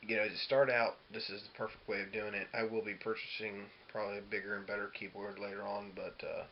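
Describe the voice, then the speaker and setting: A man with a fairly low voice talks through a casual music tutorial. He explains things loosely and informally, feeling his way as he goes. The sound is dull and lo-fi, with noticeable hiss behind him. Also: little pitch movement, fast. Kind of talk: casual instructional demo talk. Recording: dull lo-fi audio with clear hiss.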